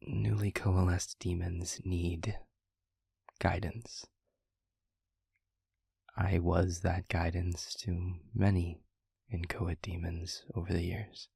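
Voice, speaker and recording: A man acts out a gentle, reflective demon in an intimate audio roleplay. The voice is very breathy and husky, sitting low and staying even, with soft, measured phrases that hesitate as he thinks. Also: no echo, clean studio sound, full character voice. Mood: reflective, earnest. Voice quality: breathy, husky, half-whispered.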